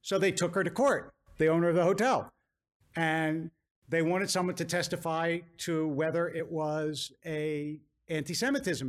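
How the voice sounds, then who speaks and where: An American man, a rabbi answering audience questions in a measured, careful way, often qualifying and repeating phrases for emphasis. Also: on the high side. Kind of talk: thoughtful public question-and-answer talk.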